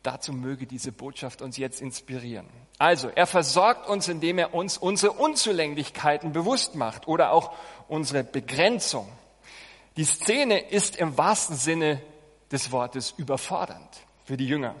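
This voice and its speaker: Guy pastor giving a sermon, slightly high voice, measured and emphatic, big echoey church hall. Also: animated, fairly bright tone. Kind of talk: sermon with scripture reading. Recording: long hall reverb.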